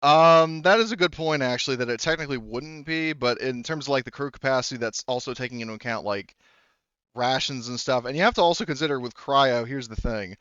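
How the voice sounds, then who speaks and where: Smooth-voiced man running a tabletop game. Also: medium pitch, fairly bright tone, no room sound, lo-fi sound. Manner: halting, thinking aloud, blunt swearing. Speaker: game master. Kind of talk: running a tabletop roleplaying game, casual talk.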